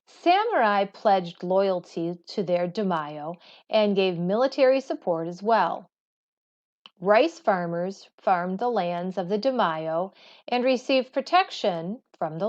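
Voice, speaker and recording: A woman reading educational material aloud like a teacher, with deliberate, careful delivery. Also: medium pitch, a little mellow. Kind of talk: read-aloud educational narration. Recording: slightly dull, lo-fi sound.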